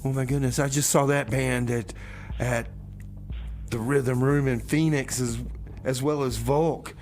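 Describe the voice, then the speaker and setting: Male community radio host, medium pitch, casual and folksy, halting rambles, faint background noise. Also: fairly even intonation, creaky, darkish tone. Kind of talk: casual rambling music-show DJ talk.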